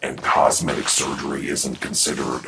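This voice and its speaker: Man as a very deep, gruff robot medic. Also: completely flat delivery, moderate pace, a bit bright, slight background noise, low-quality recording. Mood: weary, gruff. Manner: curt, gruff. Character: gruff veteran robot medic, curt and proud. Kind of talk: dramatic animated-film acting.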